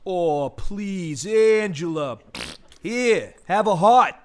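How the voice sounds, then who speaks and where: A man's voice: sits high, lively intonation, very slow, heavy background noise, full character voice. A man playing a gluttonous, wisecracking vulture in a comic audio play, grumbling and savoring his words. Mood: sarcastic, bickering.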